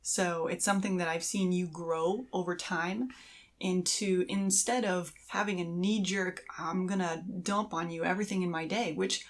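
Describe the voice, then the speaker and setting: Slightly low-voiced woman chatting on a podcast. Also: steady tone, normal speed. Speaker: podcast co-host. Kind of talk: casual conversational podcast talk.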